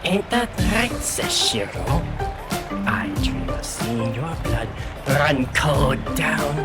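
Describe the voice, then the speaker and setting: An actor playing a bloodthirsty arena fighter with a slow, drawn-out, taunting delivery. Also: medium pitch, very animated, loud music behind the voice.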